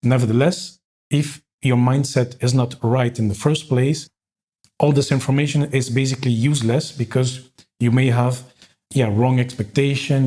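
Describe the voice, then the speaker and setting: A man's voice: mid-range voice, warm tone. A man hosting a podcast, who talks in a hesitant way with many fillers while he introduces the topic and interviews his guest. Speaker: podcast host. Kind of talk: casual interview conversation.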